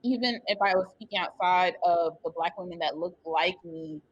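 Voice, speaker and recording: A woman on a discussion panel with a husky, breathy voice. She speaks softly and hesitantly and leans on "um"s, and her audio comes through muffled and lo-fi. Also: fairly low pitch, normal speed. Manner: soft-spoken, hesitant with fillers.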